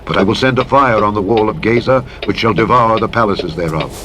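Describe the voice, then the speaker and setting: A man narrating the Bible in a solemn, dramatic, measured and declamatory style. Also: on the low side. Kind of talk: solemn dramatic scripture reading. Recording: engine and vehicle noise over playback. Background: truck engine and road noise from a driving game.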